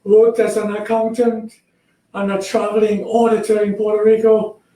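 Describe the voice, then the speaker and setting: A man, answering interview questions politely and in a measured way. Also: high-pitched, completely flat delivery, warm tone, echoey room, poor audio. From Trinidad. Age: 80s. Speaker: guest, recovered patient.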